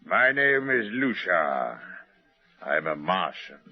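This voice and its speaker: A man's voice: deep voice, big pitch swings, no echo, exaggerated voice. An actor playing a courteous, mysterious man who claims to be a Martian, speaking formally and precisely in a vintage radio drama. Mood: formal, calm. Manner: formal, precise, measured wording. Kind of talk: 1950s radio drama acting. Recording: muffled old radio recording.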